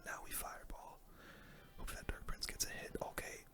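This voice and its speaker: ASMR gaming host: soft unvoiced whisper throughout, casual hushed play-by-play commentary. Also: moderate pace.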